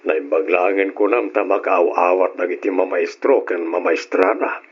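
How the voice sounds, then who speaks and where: An actor playing a concerned middle-aged husband and father in a radio drama, with a husky voice and an Ilocano accent. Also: low voice, steady tone, normal speed. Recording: muffled old radio recording.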